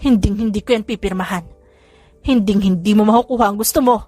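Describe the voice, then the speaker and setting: A woman's voice: medium pitch, fast, dark tone, no echo, faint music behind, close to an everyday voice. A woman voicing a furious, defiant betrayed wife with emphatic delivery in audiobook drama dialogue. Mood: angry defiant.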